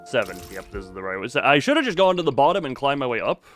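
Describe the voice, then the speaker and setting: Guy streaming games, kinda distracted, mumbles his thoughts out loud, voice jumps around, music playing behind him. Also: medium pitch, very animated, racing. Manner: distracted muttering, thinking aloud. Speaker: livestreamer. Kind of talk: casual livestream chat. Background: background music.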